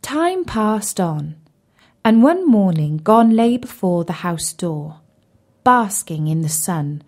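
A woman narrating a children's fairy tale as a storyteller, with an expressive, measured delivery. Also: mid-range voice. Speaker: storyteller, audiobook reader.

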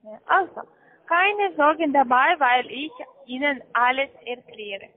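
High-pitched foreign-accented woman on phone. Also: expressive, unhurried. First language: not German. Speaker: call centre account manager. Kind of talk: sales pitch on a phone call. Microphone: telephone. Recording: muffled narrow-band phone line.

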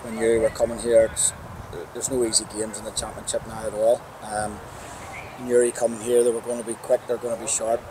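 A man's voice: fairly low pitch, completely flat delivery, fairly quick. A Northern Irish man in his forties, a football manager giving a plain-spoken post-match interview outdoors at a ground on a lapel mic. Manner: plain-spoken, upbeat, restarts phrases often. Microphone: clip-on lapel mic. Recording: outdoor background noise.

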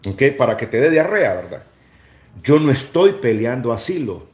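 A man's voice: medium pitch, sing-song, normal speed, darkish tone. Echoey middle-aged Salvadoran man livestreaming. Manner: repeats phrases for emphasis. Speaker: livestream host. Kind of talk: casual livestream chat and commentary. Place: small room indoors. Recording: roomy indoor echo.

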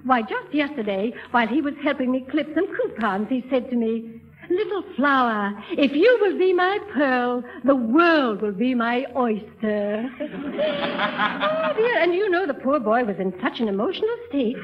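A woman's voice: high voice, very animated, normal speed. A woman playing a gushing, lovestruck middle-aged society lady with a fluttery, affected genteel manner in 1930s radio comedy. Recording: dull vintage 1930s radio recording.

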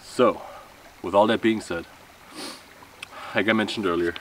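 Male outdoor vlogger, thirties, deep voice, casual rambling delivery, recorded a few metres from the mic. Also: steady tone, measured pace, fairly bright tone. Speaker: camping vlogger. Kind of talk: casual vlog talk to camera. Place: outdoor wooded campsite. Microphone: camera a few metres away. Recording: distant mic with outdoor background noise.

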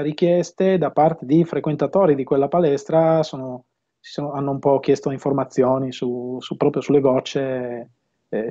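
A man in his fifties speaks in a relaxed, mellow voice as an interview guest. He explains things conversationally, stopping to find his words. The sound is dull and lo-fi, captured through an earphone headset on a video call. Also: mid-range voice. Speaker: audio system installer and dealer being interviewed. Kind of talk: casual interview talk. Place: home room with bookshelves, indoors.

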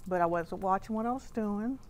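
A woman's voice: mid-range voice, fairly quick, slight background noise. A middle-aged woman, a guest chef, chatting while giving a cooking demonstration on a studio kitchen set, laughing easily.

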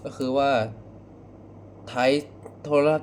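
A young man talking casually in a reaction vlog, excitable and full of exclamations. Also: very slow. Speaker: video creator reacting to a horror clip. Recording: faint background noise.

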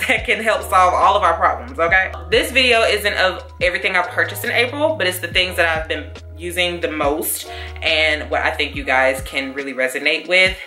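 Young woman vlogger, deep bright voice, chatty and animated, roomy bedroom sound with soft music under. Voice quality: deep, low voice. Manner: chatty, animated, addresses viewers as y'all and honey. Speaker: lifestyle vlogger. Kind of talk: casual chat to camera. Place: bedroom at home. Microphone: camera mic, seated facing camera.

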